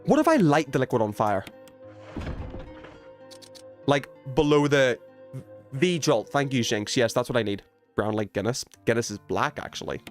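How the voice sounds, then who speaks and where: A man doing casual, excitable gaming commentary, thinking aloud in slang as he chats with stream viewers. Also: medium pitch, normal speed, pauses often, faint music behind. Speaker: video game livestreamer.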